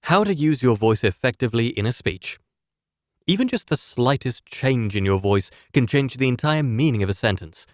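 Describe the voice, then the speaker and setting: Man, medium pitch, scripted tutorial narration, clear deliberate enunciation, stressed key words, dry close sound. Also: expressive, dry recording. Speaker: public speaking coach, presenter.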